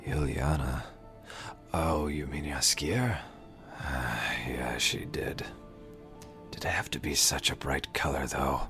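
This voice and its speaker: A weary, wounded monster hunter in an audio drama, played by a man. His gruff voice sits extremely low and moves slowly, in terse phrases. Faint music plays behind him. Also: a bit bright, exaggerated voice. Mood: gruff, subdued. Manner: terse, halting.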